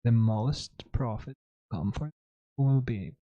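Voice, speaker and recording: Male trading streamer, hoarse throat, dark mellow tone, dry room, muttered live commentary. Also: medium pitch, no room sound, muffled recording. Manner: thinking aloud, halting, self-correcting. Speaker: trading livestream host.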